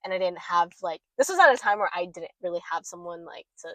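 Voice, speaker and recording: A woman's voice: fairly low pitch, expressive, fast, sharp tone, no echo. A young woman co-hosting a podcast, chatting candidly and rambling with lots of "like". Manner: rambling, heavy use of "like", candid. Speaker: podcast co-host. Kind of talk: casual chat.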